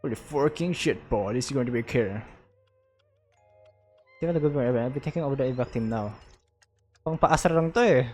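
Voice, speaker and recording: A man's voice: medium pitch, quick pace, long pauses, a little mellow, slightly rough. Filipino guy streaming a game, casual taglish chatter, faint music behind him. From Philippines. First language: Tagalog. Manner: informal, switches between English and Tagalog. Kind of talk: casual livestream commentary, reading game text aloud.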